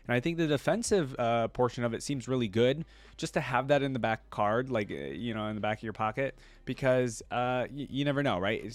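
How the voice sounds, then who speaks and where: A man giving casual reaction commentary as a video host, informal and rambling as he thinks out loud. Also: medium pitch, dry recording.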